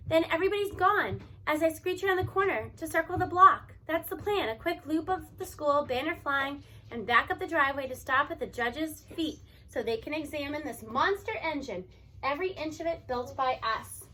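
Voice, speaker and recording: A woman reading a children's novel aloud in an expressive, animated storytelling manner. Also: high voice, moderate pace. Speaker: audiobook reader.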